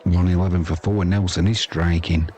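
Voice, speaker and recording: A man's voice: low voice, steady tone, normal speed, warm tone, lo-fi sound. A man doing casual, chatty live cricket commentary and talking to viewers, with dry, informal asides. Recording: crowd noise behind the voice. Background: sports crowd.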